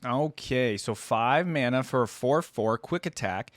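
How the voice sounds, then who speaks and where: A man's voice: medium pitch, expressive, measured pace, music in the background. A man hosting a reaction video, commenting casually and thinking aloud in a tentative, conversational way.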